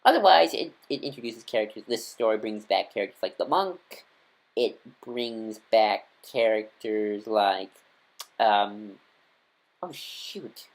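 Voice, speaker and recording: A man hosting a casual fan review video, talking in a rambling, eager way with many fillers and restarts. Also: medium pitch. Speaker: video host.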